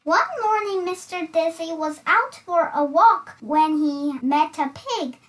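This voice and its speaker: A woman reading a children's story aloud in an expressive, deliberate way. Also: very high-pitched, far from the mic. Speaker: storybook reader.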